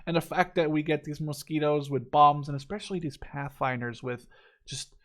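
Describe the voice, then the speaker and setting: A man's voice: fairly high pitch, moderate pace. A man in his twenties giving casual, enthusiastic and opinionated game review commentary, with some casual swearing. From Netherlands. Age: 20s. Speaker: gaming video creator.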